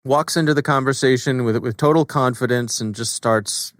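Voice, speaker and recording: A man's voice: mid-range voice, normal speed, no echo. A man hosting a podcast in a conversational style. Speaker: podcast co-host.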